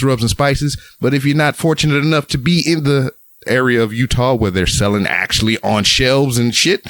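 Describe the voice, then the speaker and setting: Laid-back deep-voiced podcast host. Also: male voice, fairly bright tone, dry recording. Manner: laid-back, joking, swears casually. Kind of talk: casual podcast chat.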